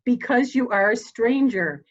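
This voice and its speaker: A woman's voice: on the high side, expressive. Woman playing a dignified elderly matriarch, slightly muffled. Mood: sorrowful, candid. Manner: formal, deliberate, commanding. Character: elderly dying matriarch, dignified, steely, grieving mother. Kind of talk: dramatic reading of a murder mystery.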